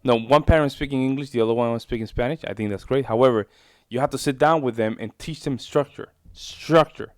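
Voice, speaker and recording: Brief: mid-pitched male reaction host, loose and chatty, dry booth-like sound. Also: dry recording. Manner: chatty, rambling, repeats himself, informal "bro". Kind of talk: casual reaction commentary.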